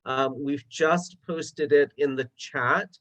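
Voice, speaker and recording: A man moderates an online webinar at a slow, unhurried pace. He talks hesitantly, with frequent "um" and "uh". His voice comes through a video call. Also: a little high, sharp tone. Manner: hesitant, many fillers.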